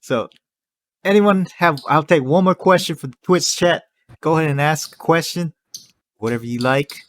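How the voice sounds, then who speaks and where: A man's voice: on the high side, normal speed, dry recording, studio quality. A man hosting a podcast on a headset, laid-back and laughing along with his guest. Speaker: podcast host.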